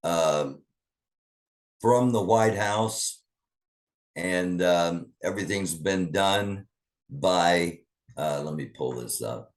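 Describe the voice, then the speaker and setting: Low-voiced man rambling through news. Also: very slow, pauses often. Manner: rambling, thinking aloud with many fillers. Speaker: news commentary host. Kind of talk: casual online news commentary.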